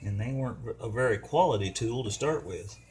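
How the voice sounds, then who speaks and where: A man's voice: mid-range voice, lively intonation, brisk, very noisy. An older man, talking casually and colloquially to himself as he narrates a hands-on repair. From United States. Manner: folksy, colloquial, muttering to himself while working. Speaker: DIY mechanic, video host.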